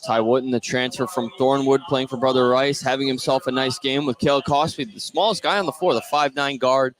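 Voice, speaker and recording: A man's voice: mid-range voice, steady tone, brisk, a bit bright. A man doing live play-by-play commentary of a basketball game. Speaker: play-by-play announcer. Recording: live gym noise behind the voice. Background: gym ambience of a basketball game.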